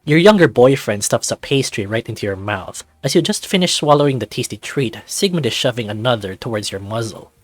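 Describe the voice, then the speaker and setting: A man's voice: medium pitch, animated, a little fast, hardly any pauses, no room sound. A man reading scripted narration for a visual novel in the role of narrator. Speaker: narrator and voice actor. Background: music.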